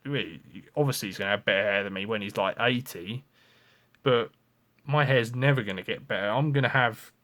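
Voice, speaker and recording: A man's voice: fairly low pitch, brisk, fairly bright tone. A man, talking frankly and ramblingly in a confessional vlog, with lots of restarts and swearing. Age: 20s. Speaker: vlogger.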